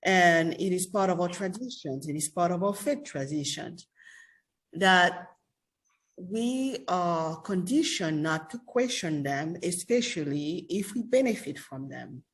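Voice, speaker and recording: Slow, deliberate woman moderator. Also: lowish voice, expressive. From Haiti. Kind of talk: moderating a panel conversation.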